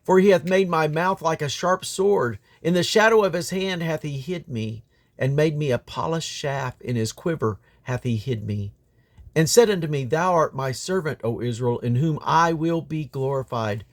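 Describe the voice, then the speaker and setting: Male scripture reader, slightly high register, solemn and declamatory, phrases set out deliberately with firm stress. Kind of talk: solemn Bible reading aloud.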